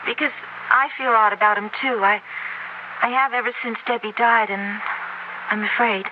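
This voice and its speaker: A woman's voice: on the high side, steady tone, moderate pace, close to an everyday voice. An actress playing an anxious, defensive young woman with a questioning manner in a 1970s radio mystery. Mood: uneasy, defensive. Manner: sharp, questioning, uneasy. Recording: muffled, noisy old radio recording.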